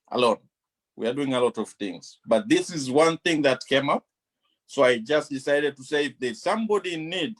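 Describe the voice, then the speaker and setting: A man's voice: a little high, low-quality recording. Earnest Kenyan man speaking on a panel. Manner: measured, persuasive, repeats points. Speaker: Kenyan official on a talk show.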